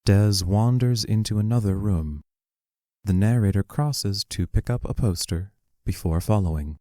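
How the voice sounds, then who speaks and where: A man's voice: fairly low pitch, unhurried, mellow, no echo, clean studio sound. A man reading scripted stage directions as the narrator of an audio play.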